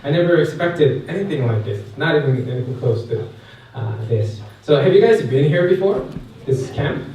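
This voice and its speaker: A man's voice: a little high, lively intonation, moderate pace, dark tone, dull audio. A man in his thirties from Korea with a Korean accent, giving a chatty personal talk to a youth camp and asking the crowd questions. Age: 30s. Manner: chatty, asks the audience questions, many fillers. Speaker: guest speaker at a youth camp. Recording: echoey hall, mic far off, faint noise.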